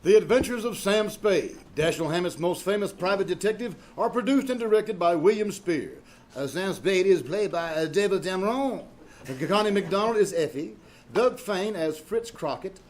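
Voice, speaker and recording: A man's voice: sits high, animated, moderate pace, slight background noise. A man working as announcer, reading scripted copy in old-time radio style at a live performance.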